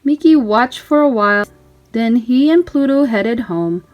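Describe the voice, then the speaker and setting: Lady reading a kids picture book out loud, high pitched n super animated. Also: a little slow, muffled recording, faint music behind. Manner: animated, emphatic, child-directed storytelling. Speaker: storybook reader. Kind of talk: expressive children's read-aloud narration.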